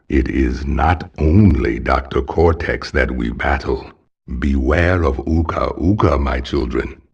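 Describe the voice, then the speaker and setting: An actor playing a wise old guardian spirit in a video game, speaking in a grave, fatherly, measured way. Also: a bass voice, vocal fry, a little mellow, breathy edge, muffled recording, exaggerated voice. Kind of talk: video game cutscene acting.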